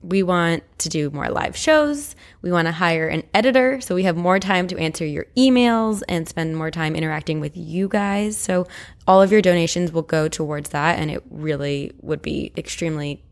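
A woman's voice: on the low side, normal speed. A woman co-hosting a podcast in a casual, chatty style. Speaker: podcast co-host. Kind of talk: casual chat.

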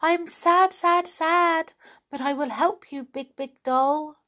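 Storybook songbird, gentle and kind, very high and lilting, slow; a woman puts it on. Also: full character voice. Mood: sad sing-song. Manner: sing-song, repeats words in threes. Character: kind gentle female thrush, small songbird.

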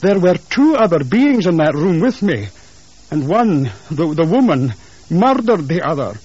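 A man's voice: fairly high pitch, big pitch swings, measured pace, darkish tone, husky, muffled recording, voice pushed up, exaggerated voice. A man voicing a shaken, insistent husband in a ghost story, earnest and nervy. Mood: insistent, defensive.

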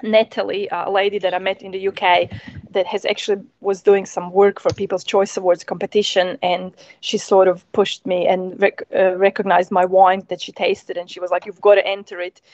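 A woman in her thirties from Croatia with a Croatian accent, a winemaker chatting in an interview, eager and halting with many ums. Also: medium pitch, little pitch movement, darkish tone, no echo. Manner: hesitant, many ums, enthusiastic. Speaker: winemaker guest. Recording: dull, muffled sound.

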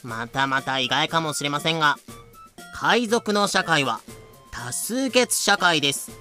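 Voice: medium pitch, expressive, a little slow, sharp tone, faint music behind. A narrator giving polite, scripted explanatory asides in an audio drama.

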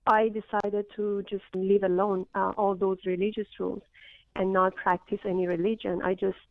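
A woman from Iran with a Persian accent tells her story earnestly, stopping often on fillers. Her voice is gravelly and stays level in pitch. It comes through a tinny, phone-like channel with a dry sound. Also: level tone, moderate pace, dry recording. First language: Farsi. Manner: earnest, with many fillers and restarts. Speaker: guest. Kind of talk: interview, personal testimony.

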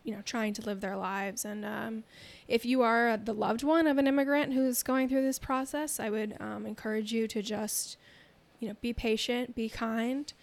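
A woman speaking thoughtfully as a podcast guest, with many soft fillers and restarts. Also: a little high, fairly even intonation, moderate pace, creaky. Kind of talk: casual chat.

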